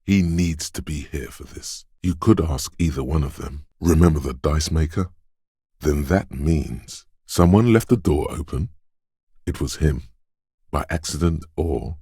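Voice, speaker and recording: A watchful inner voice narrating a detective video game. He is extremely deep, with a slightly husky edge and a dry studio sound. The delivery is deliberate and knowing, wry yet always alert. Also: darkish tone, no echo, heavily acted. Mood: alert, probing.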